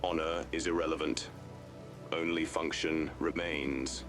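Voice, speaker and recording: A man's voice: rumbling low, flat delivery, a little slow, music in the background. A man voicing a cold cyborg tech-priest warrior in an audiobook, hollow, clinical and detached. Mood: cold dismissal.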